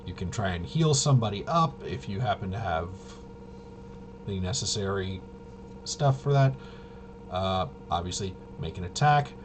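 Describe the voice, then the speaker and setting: A man casually rambling through tabletop game rules, haltingly, often trailing off and correcting himself. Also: sits low. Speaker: host. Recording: clear steady background noise.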